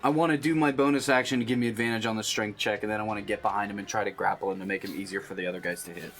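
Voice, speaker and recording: A man's voice: on the low side, steady tone, racing, fairly bright tone. A man chatting casually as a player in a tabletop roleplaying game, informal and thinking aloud as he goes.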